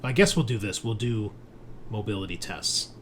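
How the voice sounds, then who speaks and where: A man's voice: fairly low pitch, lively intonation, moderate pace, fairly bright tone, slight background noise. A man running a tabletop roleplaying game as game master, talking in a casual, conversational way.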